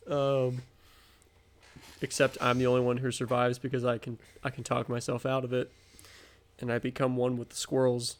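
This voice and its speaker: A young American man from the Midwest co-hosting a podcast, improvising comic stories in a casual, halting, giggly way. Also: mid-range voice, monotone. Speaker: podcast co-host. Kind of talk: casual comedic improvised storytelling.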